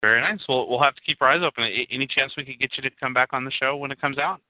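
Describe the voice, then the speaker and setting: Halting man host on a tinny line. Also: mid-range voice, no echo. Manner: halting, repeats words, rambling questions. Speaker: show host. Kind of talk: online radio interview. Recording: tinny phone line, dry.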